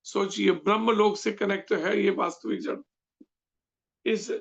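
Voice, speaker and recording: Man teaching a scripture class, high gritty voice, asks the room questions as he goes, dull lo-fi sound. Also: creaky. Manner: explains slowly, quizzing listeners with questions. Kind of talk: devotional scripture lecture.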